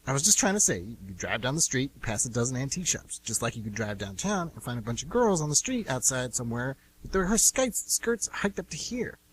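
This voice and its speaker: A woman playing a secretive, touchy younger brother with a husky voice and evasive, hesitant delivery in an amateur radio drama. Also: rumbling low, very animated, brisk, few pauses, a little hiss or hum, low-quality recording. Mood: defensive, irritable. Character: shifty, defensive younger brother, secretive grown man.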